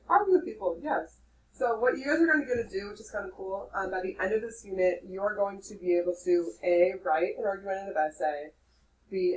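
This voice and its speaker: Young woman, nasal, teacher lecturing a class, frequent "okay?", heard from across a classroom. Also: mid-range voice. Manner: lively, checks in with "okay?" often. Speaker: high school English teacher. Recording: distant mic with faint room noise.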